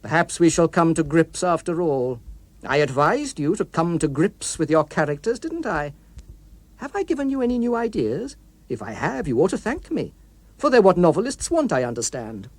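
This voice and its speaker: A man reading an audiobook, voicing an anonymous male postcard writer who is mock-friendly, arch and insinuating, with quiet menace. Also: a little high, very animated, moderate pace, dry recording. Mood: needling, menacing.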